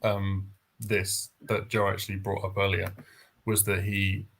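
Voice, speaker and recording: A man's voice: low-pitched, monotone, unhurried, creaky, a bit bright. A man talking casually as a co-host in a book discussion. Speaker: read-along co-host. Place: online live video chat.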